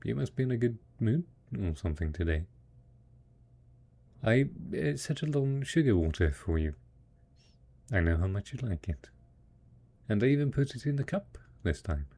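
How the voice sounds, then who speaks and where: A man playing a devoted, patient husband in an intimate post-apocalyptic audio roleplay, coaxing slowly and hesitantly in a soft, husky voice. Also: lowish voice, very animated. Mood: pleasantly surprised. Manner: slow, coaxing, hesitant, talking as if to a child. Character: devoted, patient husband tending zombie spouse after apocalypse.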